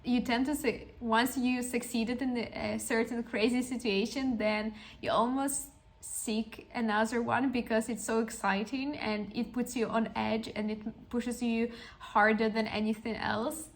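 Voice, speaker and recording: Woman in her twenties, podcast host, earnest storytelling, flat even delivery, fairly high pitch. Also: a little slow. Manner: earnest and encouraging, with frequent restarts. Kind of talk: reflective personal storytelling monologue.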